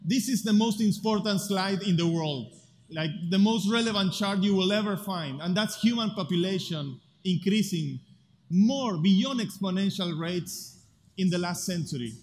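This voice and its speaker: A man with an Argentine Spanish accent giving an earnest, deliberate conference talk with rhetorical pauses. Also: sits high, lively intonation. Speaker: speaker at a future festival. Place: large hall at a festival. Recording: live hall with long reverb, faint ambience.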